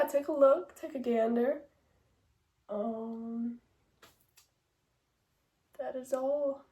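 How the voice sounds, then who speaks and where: Young woman w a stuffy cold nose, kinda high voice, rambly chill music chat, lots of likes and ums. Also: creaky, mellow. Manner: rambling, many fillers and hedges. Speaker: music vlogger. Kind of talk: casual album ranking chat.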